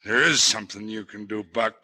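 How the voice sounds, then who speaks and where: A man's voice: lowish voice, fairly quick, bright, forceful tone. A man playing a drunken, proud old frontier doctor in a Western radio drama, slurring and stammering pompously. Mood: indignant. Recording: vintage radio recording with faint noise.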